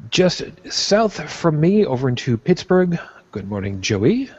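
Relaxed New man hosting a panel. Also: on the high side, unhurried, husky, dry recording. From born in Brooklyn, raised on Long Island. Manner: relaxed, chatty, introduces guests one by one. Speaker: host of a panel vlogcast. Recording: dry, muffled lo-fi audio.

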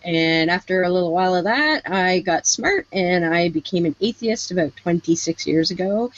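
A woman from Ontario, Canada introduces herself on a video-call panel, plain-spoken and a bit wry. Her voice is on the low side. The audio is dull and lo-fi. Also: lively intonation, normal speed. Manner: plain-spoken, self-deprecating. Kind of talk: casual self-introduction.

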